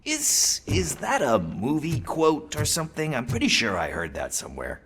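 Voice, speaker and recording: An actor playing a brash, easygoing police partner in a video game, talking casually with sarcastic jokes. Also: male voice, on the high side, wildly swooping pitch, normal speed, a bit bright, slight background noise. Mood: joking, puzzled.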